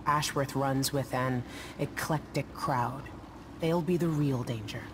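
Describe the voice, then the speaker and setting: A woman's voice: a bass voice, measured pace, background noise. An actress playing a guarded, secretive woman ship captain in a video game, talking in terse, matter-of-fact phrases. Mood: guarded, businesslike.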